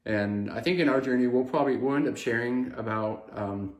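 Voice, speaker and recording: A man's voice: mid-range voice, brisk. A man in his thirties chatting casually on a video blog, hesitant, with many fillers and restarts. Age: 30s. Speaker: vlog co-host. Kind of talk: casual chat. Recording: echoey room.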